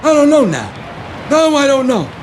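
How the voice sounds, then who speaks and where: Male actor for needy, fretful NYC construction worker: very high-pitched, repetitive, naturalistic audio play, clear street noise behind. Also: huge pitch swings, moderate pace, full character voice. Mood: anxious, exasperated. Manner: repeats phrases for emphasis. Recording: noisy city street with traffic. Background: urban street ambience, vehicles.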